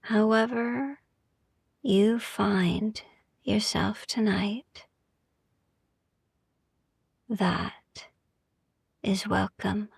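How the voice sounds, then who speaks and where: Very slow, hushed breathy woman, near whisper. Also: medium pitch, fairly even intonation, no echo, clean studio sound. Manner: slow, gentle, soothing, with long pauses. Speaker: sleep meditation podcast host. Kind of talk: soft ASMR-style guided sleep meditation.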